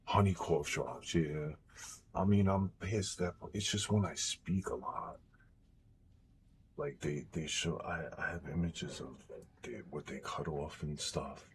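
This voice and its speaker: A young baritone man streaming live, talking casually and bluntly with a strained, sore voice after throat surgery, sometimes slipping into a whisper. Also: slow, background noise. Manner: blunt and profane, drops to a whisper at times.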